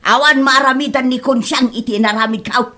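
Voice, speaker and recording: Woman as older mother, comedy drama, high-pitched, shrill, sharp, greedy, hot-tempered, scolding, combative. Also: fairly even intonation, fairly quick. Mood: scolding, dismissive. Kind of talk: Ilocano comedy drama acting.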